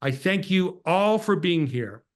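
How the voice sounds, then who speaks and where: A man's voice: sits high, animated, unhurried. A man delivering a prepared speech as host, formal and earnest, introducing a speaker.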